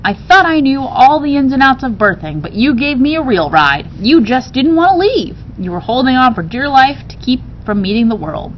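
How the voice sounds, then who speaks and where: A woman reading the part of a country mother in a storybook, telling a family tale in an animated, folksy way. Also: normal speed, hardly any pauses. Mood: teasing, animated. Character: giggly country mother fondly retelling a birth tale. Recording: dull, noisy recording with rumble.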